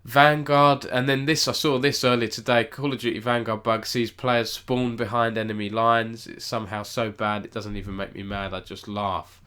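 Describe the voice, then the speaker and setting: A man's voice: mid-range voice, flat delivery, moderate pace, hardly any pauses, pushed and bright, clean tone. A man with a cold, sounding nasal as he hosts a rambling, self-correcting live-stream esports news roundup and chats with viewers.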